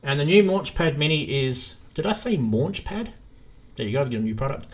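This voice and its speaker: A man's voice: fairly high pitch, very animated, fairly quick. Casual man hosting a live stream.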